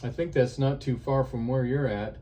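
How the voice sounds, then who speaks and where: A man from Ohio chatting on ham radio from a portable outdoor setup, unhurried and halting with frequent fillers. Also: medium pitch, steady tone, mellow. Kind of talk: casual radio chat.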